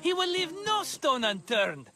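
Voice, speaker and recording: A man's voice: very high voice, sing-song, unhurried, sharp tone, faint music behind, full character voice. A man playing a scheming, wheedling merchant in a video game, glib and theatrical like a salesman. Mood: cajoling. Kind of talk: video game cutscene acting.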